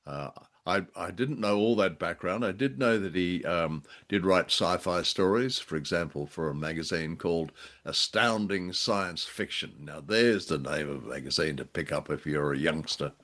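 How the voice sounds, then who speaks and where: A middle-aged man co-hosting a podcast in casual chat, speaking in a hesitant, halting way. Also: low voice, a bit bright. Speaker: podcast co-host. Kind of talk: casual scripted-topic chat.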